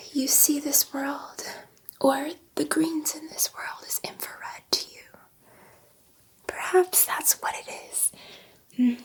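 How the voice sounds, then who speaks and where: A gentle alien scientist, played by a woman, whispers through an ASMR roleplay in a high, feminine voice. She is curious and soothing, taking her time and softly repeating reassurances to her human test subject. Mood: puzzled, curious. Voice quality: soft, breathy whisper.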